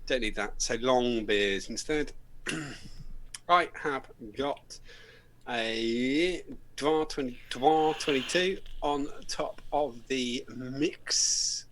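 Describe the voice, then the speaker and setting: Brief: British male co-host, mid-pitched, bantering and loose, faint hiss under the voice. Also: extremely slow, a bit bright, rough recording. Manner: banter, jokey, laughs often. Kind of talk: casual chat.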